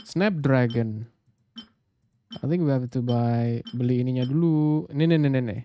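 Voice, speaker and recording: A man's voice: medium pitch, moderate pace, highs cut off, a little hiss or hum. A man with an Indonesian accent chatting casually on a live game stream, switching languages and muttering to himself. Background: game music.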